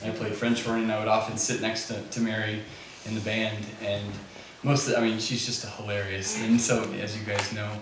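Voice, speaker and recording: Low-pitched man, distant and very echoey. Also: flat delivery, fairly quick, hardly any pauses. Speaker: community band conductor and musician speaking at a memorial. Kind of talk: informal, halting tribute before performing a song. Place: echoey back room at a gathering. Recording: very echoey, distant mic, clearly noisy.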